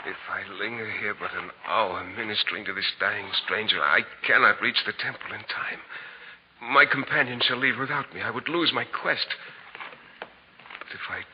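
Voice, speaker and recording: An actor in a 1940s radio drama playing an earnest, devout Magus on a holy quest, solemn and theatrical. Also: male voice, on the low side, moderate pace, noisy background, close to an everyday voice. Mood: anguished, prayerful. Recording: dull vintage radio recording with music.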